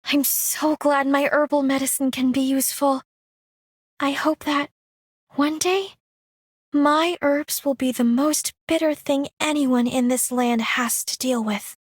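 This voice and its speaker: A woman's voice: high-pitched, fairly even intonation, a little slow, no echo, studio quality. A woman voicing a kind, earnest young herbal healer in a video game. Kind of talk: scripted game character voice line.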